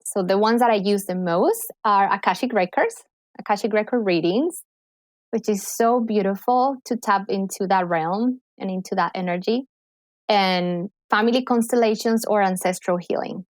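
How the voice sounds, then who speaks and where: A woman's voice: medium pitch, normal speed, no room sound. A woman from Colombia with a Colombian accent, a guest speaking enthusiastically in a casual podcast conversation. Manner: enthusiastic, animated. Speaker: guest, clinical psychologist and healer.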